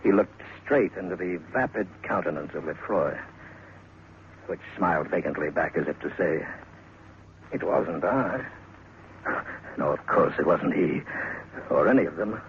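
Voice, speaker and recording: A man narrating a scripted horror story dramatically, in a suspenseful, measured manner with deliberate pauses. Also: low-pitched, slight background noise. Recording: muffled old radio sound with music.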